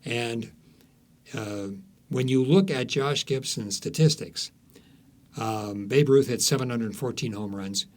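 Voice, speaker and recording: A man speaking as an author guest in an interview, telling stories in a halting, emphatic way that repeats phrases. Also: mid-range voice. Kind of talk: conversational storytelling interview.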